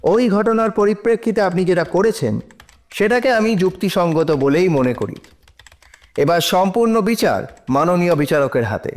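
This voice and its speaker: An actor playing an earnest, assured police officer pleading in court in an audio drama, with formal, deliberate, persuasive oratory. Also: male voice, high voice, lively intonation. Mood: measured, persuasive.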